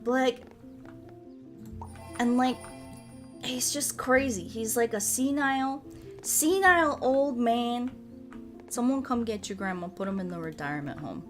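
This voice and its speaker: A woman from the United States chatting casually as a streamer, animated and rambling with a salty tongue. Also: on the high side, a little slow, pauses often, soft background music. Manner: animated rambling, frequent swearing. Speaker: streamer chatting with viewers during a puzzle game. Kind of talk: casual livestream chat.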